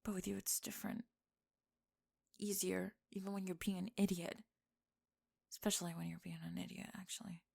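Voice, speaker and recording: A woman playing a young tomboy friend in an intimate ASMR roleplay, halting and flustered, teasing gruffly and often slipping into whispers. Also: lowish voice, level tone, darkish tone, full character voice. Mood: shy, nervous.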